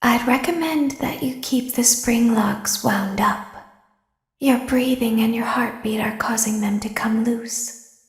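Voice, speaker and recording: Woman plays a cruel animatronic girl, whispered threats, unhurried and high-set, echoing space. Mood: menacing whisper. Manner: soft menacing whisper. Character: sinister girlish female animatronic, calm and cruel. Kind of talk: fan voice acting of game lines.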